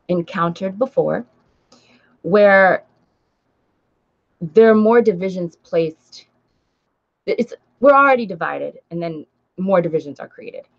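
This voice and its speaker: A woman with an American accent talks casually to livestream viewers in a low voice with plenty of vocal fry. She stresses her points by repeating them. The audio is a little dull and lo-fi. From Nigeria until age seven, then raised in the United States. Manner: emphatic, repeats phrases for stress, checks in with "right?". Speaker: book vlogger and writer hosting a livestream. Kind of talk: casual livestream chat, responding to comments.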